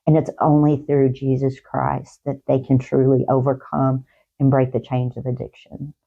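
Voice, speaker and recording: A woman talk show guest giving heartfelt, faith-based encouragement in a halting way, repeating words and phrases. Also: extremely low pitch, little pitch movement, gravelly, narrow, tinny audio.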